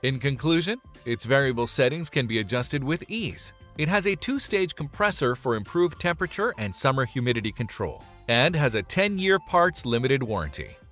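A man's voice: medium pitch, sing-song, moderate pace, hardly any pauses, a bit bright. A man reading a scripted product review as voice-over, upbeat and emphatic on transitions. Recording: voice-over with faint background music.

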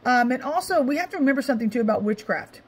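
High, scratchy-voiced woman preacher. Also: lively intonation, very fast, breathy edge. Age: middle-aged. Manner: emphatic, repeats phrases. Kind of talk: informal devotional exhortation.

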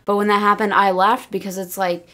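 A woman's voice: mid-range voice, level tone, fairly quick, sharp tone. A woman co-hosting a wrestling podcast in casual chat, opinionated and emphatic in how she talks. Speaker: podcast co-host.

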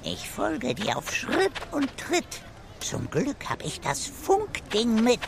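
A woman's voice: on the high side, wildly swooping pitch, takes it slowly, vocal fry, background noise, exaggerated voice. A woman voicing a cheeky talking parrot who speaks in rhymes in a children's audio drama. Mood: sly, determined. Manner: speaks in sing-song rhymes. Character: cheeky rhyming talking parrot, a loyal spy. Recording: music behind the voice.

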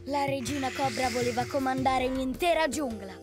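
Woman doing a high voiced cartoon cub telling a kids' jungle legend, music behind. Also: normal speed, lightly acted. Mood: earnest storytelling. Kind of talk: scripted storytelling in a children's cartoon.

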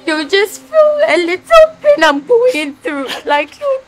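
A woman playing a gravely ill young woman who pleads tearfully and keeps calling her brother's name. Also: very high voice, very animated, takes it slowly, background noise, heavily acted. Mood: sobbing. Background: soft music.

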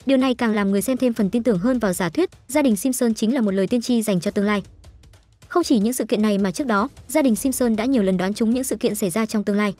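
A woman's voice: fairly high pitch, a little mellow, no room sound. A woman reading scripted entertainment news as a narrator. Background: background music.

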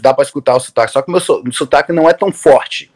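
A man's voice: a little high, fast talker. A man from Brazil in his thirties, a guest on a video-call interview, talking casually with lots of restarts and fillers. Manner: relaxed, rambling with many restarts and fillers. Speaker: interview guest, MMA coach. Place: room at home, indoors.

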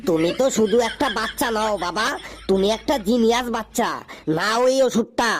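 An actor playing a sarcastic, exasperated doctor in an animated comedy sketch, with a theatrical, scolding delivery. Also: medium pitch, brisk, noisy background, natural voice. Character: exasperated, sarcastic cartoon doctor fed up with silly patients.

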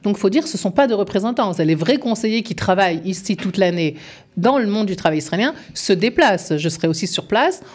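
A woman's voice: medium pitch, animated, fairly quick, darkish tone. A woman in her fifties, an employment advisor, explaining things earnestly and persuasively in a radio studio interview. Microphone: studio microphone.

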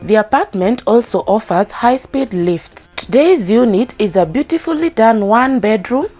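A woman's voice: on the high side, animated, normal speed. A woman from Kenya narrating a house tour voice-over, chatting to viewers about each room. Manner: friendly, chatty commentary addressing viewers. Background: background music.

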